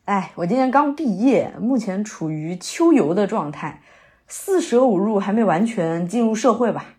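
A woman's voice: medium pitch, lively intonation, normal speed, dark tone, close to an everyday voice. A woman acting a young, sentimental, theatre-loving new graduate in a naturalistic audio drama, speaking in a hesitant, conversational way. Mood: easygoing, self-mocking. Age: young adult. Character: young woman fresh out of university, wandering, sentimental theatre lover.

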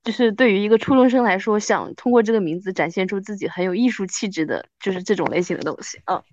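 A woman's voice: medium pitch, fast, darkish tone, dry recording, muffled recording. A woman in her thirties chatting casually as a podcast host, self-deprecating and often repeating her words for emphasis. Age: 30s. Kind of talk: casual chat between old friends.

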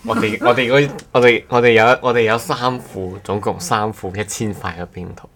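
A man's voice: fairly low pitch, steady tone, normal speed, a bit bright. A man chatting casually as a guest on a podcast. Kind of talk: casual chat. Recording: clearly noisy background.

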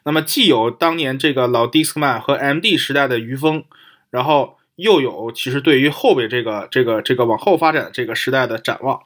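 A man's voice: medium pitch, brisk, fairly bright tone. A man co-hosting a casual discussion, repeating and rephrasing himself as he thinks aloud. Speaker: podcast co-host.

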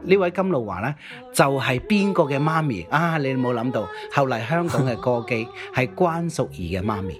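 A man's voice: mid-range voice, very animated, moderate pace. A man with a Cantonese accent co-hosting a music history show in a conversational, explanatory way, often checking in with questions. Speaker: radio DJ and co-host.

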